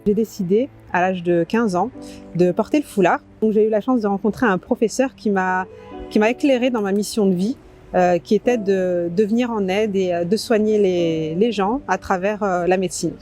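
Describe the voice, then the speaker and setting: A woman's voice: mid-range voice, moderate pace, mellow, faint music behind. A middle-aged woman, a general practitioner, speaking earnestly with some hesitation in an interview.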